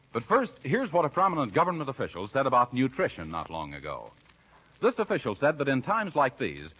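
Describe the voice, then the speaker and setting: Old timey radio announcer guy, polished and emphatic, swoopy delivery, kinda husky, dull muffled recording. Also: mid-range voice, fairly bright tone. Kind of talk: 1940s radio scripted announcing, sales pitch.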